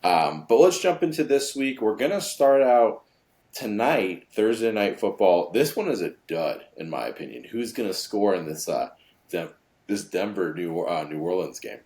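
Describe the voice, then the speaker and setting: A man's voice: lowish voice, sing-song, normal speed, darkish tone. A man hosting a sports podcast in an upbeat, easygoing way with many fillers. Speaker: podcast host. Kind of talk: casual sports chat.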